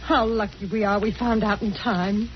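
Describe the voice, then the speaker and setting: A woman's voice: medium pitch, steady tone, normal speed, lightly acted. An actress playing an earnest, tender young woman in love in a 1940s radio drama. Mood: tender, confessing. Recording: muffled vintage radio recording.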